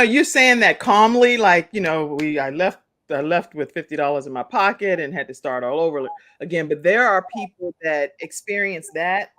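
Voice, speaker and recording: A woman's voice: fairly low pitch, fairly bright tone. A woman from the United States interviewing a guest conversationally as a talk show host.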